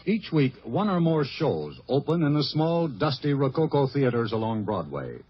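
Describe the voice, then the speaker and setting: Male American radio host, very dramatic intonation, rough-edged voice, dryly humorous scripted narration, muffled 1950s sound. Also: medium pitch, very animated, normal speed.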